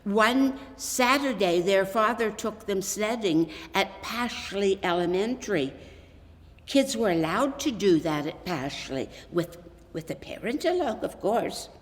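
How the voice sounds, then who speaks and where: A woman reading a children's Christmas story aloud as scripted narration, in an expressive, deliberate way with dramatic pauses. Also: mid-range voice. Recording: echoey hall with long reverb.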